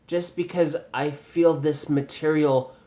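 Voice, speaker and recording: A man with a Canadian accent giving a measured, teacherly video response on pronunciation, pausing often with ums. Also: on the high side, steady tone, dark tone. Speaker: video commentator reviewing pronunciation. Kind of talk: slow spoken video response.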